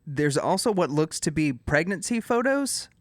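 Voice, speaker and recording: A co-host from Dallas reads things out with a teasing, deadpan delivery. The sound is dry and close, with no room around it. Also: mid-range voice, moderate pace, no room sound. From Dallas, Texas. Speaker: podcast co-host. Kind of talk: casual podcast chat.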